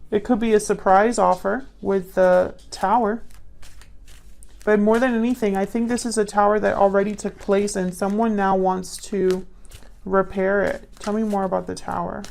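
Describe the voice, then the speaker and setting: A woman giving a casual intuitive tarot reading to viewers, musing and pausing as she thinks aloud. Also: medium pitch, little pitch movement, creaky, darkish tone. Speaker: tarot reader.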